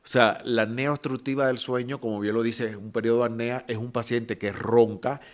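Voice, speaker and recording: A man's voice: mid-range voice, fairly even intonation, fairly quick. A man with a Cuban accent, a physician guest on a podcast, explaining patiently with many fillers and restarts. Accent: Cuban Spanish. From Cuba. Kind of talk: explanatory medical podcast talk.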